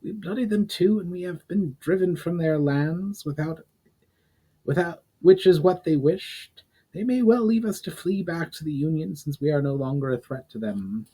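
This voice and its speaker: Fantasy woman commander acted by a man, raised pitch, brisk military address, practical doubter. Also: warm tone. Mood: doubtful. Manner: crisp, questioning, dismissive of superstition. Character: dutiful, skeptical female army commander. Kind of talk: dramatic fantasy story reading.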